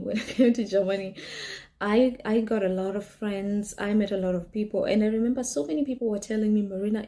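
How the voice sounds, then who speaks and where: A young woman from Kenya, speaking English as a second language, tells her own story in an earnest, animated way, peppering it with "you know." Her voice is mellow and warm. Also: mid-range voice. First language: not English. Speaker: vlogger. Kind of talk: personal storytelling.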